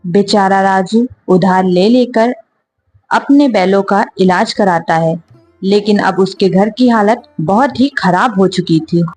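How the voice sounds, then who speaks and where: A woman's voice: mid-range voice, measured pace, a little mellow, soft background music. A woman narrator reading a scripted story for children.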